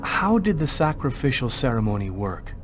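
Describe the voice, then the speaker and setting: A man's voice: medium pitch, sing-song, normal speed, lightly acted. An actor playing a guarded, earnest man on the run in a video game, speaking in a subdued, serious way. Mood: guarded, polite. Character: guarded, earnest male fugitive posing as a journalist. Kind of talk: naturalistic video game acting. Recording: music behind the voice.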